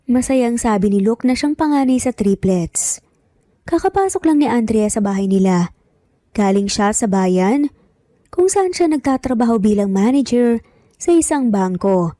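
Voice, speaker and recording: A woman's voice: fairly high pitch, lively intonation, normal speed, dark tone, no room sound, soft background music. A woman reading scripted narration as the storyteller of a romance story.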